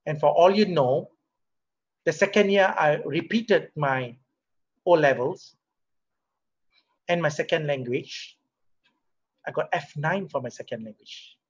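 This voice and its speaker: A middle-aged man with a Singaporean English accent, a company CEO giving a motivational leadership talk over a video call, asking rhetorical questions and getting animated as he makes his points. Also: on the high side, a little slow, pauses often, slightly rough. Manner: conversational, rhetorical questions, rises animatedly when making a point. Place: home or office room, webcam. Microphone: webcam or laptop mic on a video call. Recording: muffled video call audio.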